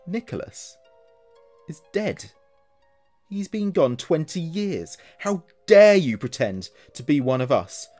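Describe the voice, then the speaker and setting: Man playing loud, accusing night watchman, raised pitch. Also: big pitch swings, takes it slowly, no room sound, soft background music. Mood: stern accusing. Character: stern accusing night watchman, self-righteous and suspicious.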